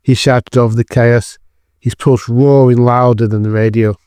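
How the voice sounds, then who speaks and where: A man's voice: medium pitch, steady tone, moderate pace, dark tone, clean tone, no echo, clean studio sound. A man narrating a scripted dramatic thriller as the storyteller of an audio drama.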